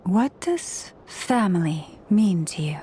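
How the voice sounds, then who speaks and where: Gentle, solemn priestess. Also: female voice, on the low side, animated, slight background noise. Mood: hesitant, tender. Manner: formal, measured, soft-spoken. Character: gentle, solemn, courteous priestess of a moon order. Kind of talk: video game voice acting. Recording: background music under the voice.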